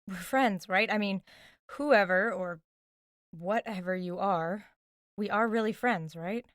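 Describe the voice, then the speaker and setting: An actress plays a young American woman in an audio drama, a skeptical friend who probes with dry, sardonic directness. Her voice is medium in pitch and conversational, in a close recording with almost no room sound. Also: slow pace, dry recording, natural voice. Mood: probing, skeptical. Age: young adult. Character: wry, probing young woman, skeptical but loyal friend. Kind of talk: audio drama acting, naturalistic dialogue.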